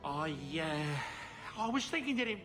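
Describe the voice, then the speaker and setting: An actor playing a kindly, fretful aging dwarf merchant in a video game, polite, hesitant and folksy. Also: male voice, fairly high pitch, big pitch swings, a little slow, over music. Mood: fond, apologetic. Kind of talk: video game character acting.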